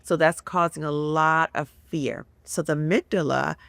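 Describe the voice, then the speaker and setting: A woman in her fifties speaks with a gravelly, fry-touched voice, close to the mic in a dry room. She explains things earnestly and sometimes acts out people's worried thoughts. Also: low-pitched, fairly even intonation, normal speed, fairly bright tone, dry recording. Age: 50s. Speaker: guest psychologist. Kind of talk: casual podcast discussion.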